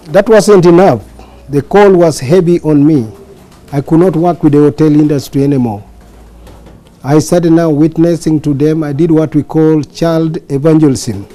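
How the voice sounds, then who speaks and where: A man's voice: fairly high pitch, mellow, rough recording. An older man from Uganda, a pastor giving an earnest personal testimony with frequent restarts.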